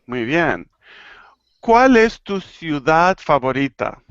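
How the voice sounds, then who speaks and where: A man from Argentina teaching an online Spanish class, playful and clear, slowing down to model phrases. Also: fairly high pitch, very animated, a bit bright, no echo, muffled recording. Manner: playful, laughing, slow and clear when teaching. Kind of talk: live online language class.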